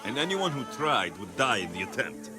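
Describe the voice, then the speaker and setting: A man's voice: medium pitch, very animated, normal speed, close to an everyday voice. An actor playing a wry, dry-humored male mercenary hero. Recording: game cutscene with music.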